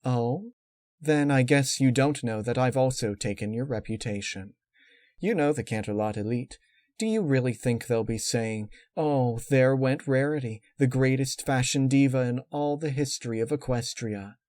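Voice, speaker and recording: Guy reading as a scheming evil girl pony, smug n taunting, cruel, dark story, dry recording. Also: medium pitch, moderate pace, no echo, pitched above the performer's own voice, natural voice.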